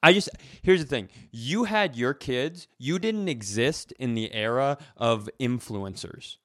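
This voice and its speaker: A man's voice: mid-range voice, animated, a little slow, no echo, clean studio sound. A man co-hosting a podcast in casual comedic chat, given to profane, exasperated rants. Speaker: podcast co-host.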